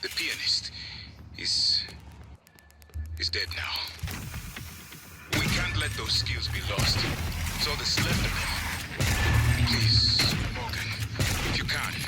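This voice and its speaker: A courteous, nervous scientist played by a man with very lively, swooping intonation. He is hesitant, earnest and sentimental, in a game scene with loud music beneath. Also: medium pitch, lightly acted. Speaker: doctor, scientist. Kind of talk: video game voice acting.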